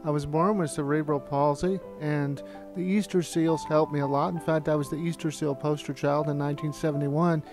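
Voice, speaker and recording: Man, reflective storytelling, fairly high voice, documentary narration, music underneath. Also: steady tone, normal speed. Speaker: filmmaker looking back on studio's history.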